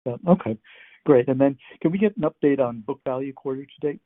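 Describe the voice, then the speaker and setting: A man's voice: medium pitch, fairly quick, dry recording. A man asking questions as an analyst on an earnings call, informal and somewhat hesitant in phrasing. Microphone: telephone. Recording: dry, tinny phone line.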